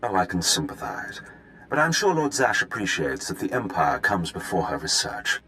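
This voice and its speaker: An actor playing a smug, ruthless imperial fleet commander in a video game, with a pompous, measured manner. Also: male voice, deep voice, big pitch swings, bright, forceful tone, faint music behind, exaggerated voice, low-quality recording. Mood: smug menace.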